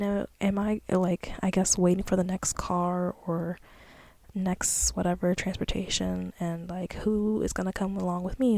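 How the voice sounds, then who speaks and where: A woman's voice: lowish voice, dry recording. A young woman whispering as she recounts a dream in a rambling, ASMR-style way, full of fillers. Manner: soft breathy whisper, rambling with many fillers.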